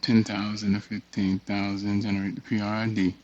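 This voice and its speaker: A man giving a casual screen-share walkthrough, thinking aloud in a halting mutter and reading text off the screen. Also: lowish voice, level tone, a little mellow, no room sound. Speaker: tutorial presenter. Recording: dull, muffled lo-fi audio.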